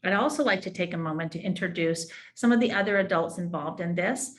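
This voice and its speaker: A woman's voice: on the low side, a little fast. A woman educator giving an explanatory presentation on a school program.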